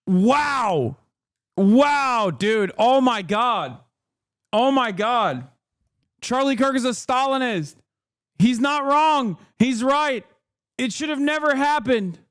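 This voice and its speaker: A man streaming live and reacting to a debate clip, joking and laughing often, dropping to low mutters and jumping to loud high outbursts. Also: clean tone, no echo, studio quality. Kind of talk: casual reaction commentary.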